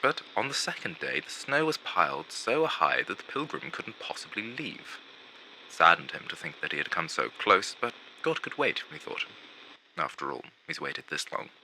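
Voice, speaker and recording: A man playing a vain, gloomy undead showman who narrates an animated film in a pompous, drawn-out theatrical manner. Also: deep voice, vocal fry, slight background noise, heavily acted, rough recording. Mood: hushed, grave. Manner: dramatic, pompous, drawn-out delivery with hushed asides.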